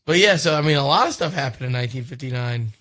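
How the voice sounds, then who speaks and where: A man's voice: medium pitch, animated, quick pace, fairly bright tone, dry recording, clean studio sound. A man talking casually and informally on a podcast, in a loose, rambling way. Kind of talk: casual chat.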